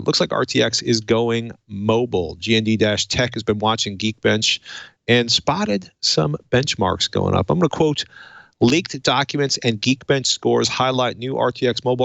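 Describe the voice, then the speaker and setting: A man with a noticeable creak in his mid-pitched voice, hosting a tech show. The sound is dry and close, and he talks in a conversational, slightly wry style. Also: a bit bright, no echo. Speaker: podcast host. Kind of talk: casual tech talk show with a read sponsor message.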